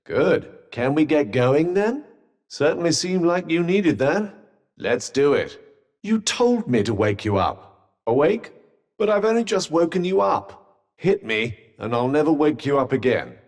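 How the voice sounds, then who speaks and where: A man's voice: on the high side, very animated, unhurried, a little mellow, big-room sound, heavily acted. A man voicing a sardonic male talking magic bracelet in a video game, with dry teasing and arch, formal phrasing.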